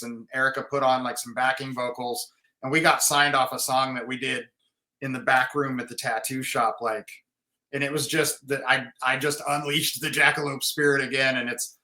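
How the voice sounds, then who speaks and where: Bright, husky-voiced man in a roomy space. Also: medium pitch. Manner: rambling, many restarts. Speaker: band frontman and songwriter. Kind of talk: casual interview chat.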